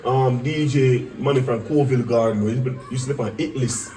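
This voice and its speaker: A man with a Jamaican accent telling stories casually in Patois in an animated way, acting out what people said. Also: mid-range voice, fairly quick. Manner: animated, acts out quoted speech. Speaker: musical artist telling career stories. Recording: distant mic with faint background noise.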